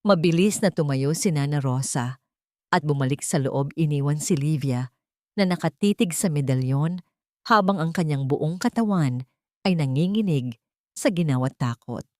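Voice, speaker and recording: A woman narrating a scripted dramatic audio story in a measured, literary way. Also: low-pitched, a little mellow, no room sound.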